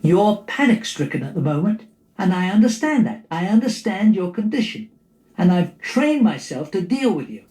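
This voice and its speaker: Man acting a flustered, high-pitched young husband. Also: sing-song, normal speed, a little mellow, roomy sound. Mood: pompous reassurance. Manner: over-earnest, flustered, patronising. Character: panicky young husband pretending total calm, pompously reassuring.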